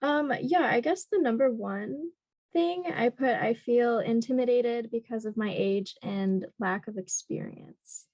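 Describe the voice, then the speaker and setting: A young woman of about twenty speaks in a fairly high voice with a dry, close sound. She talks casually as a trainee, in a tentative and agreeable way. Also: unhurried, a little mellow, dry recording, low-quality recording. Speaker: new real estate trainee being coached. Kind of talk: casual, conversational replies.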